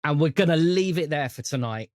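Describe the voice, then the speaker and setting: A man's voice: on the high side, animated, a little fast, dry recording, clean studio sound. A man with a British accent, game mastering and chatting casually on a tabletop roleplay show.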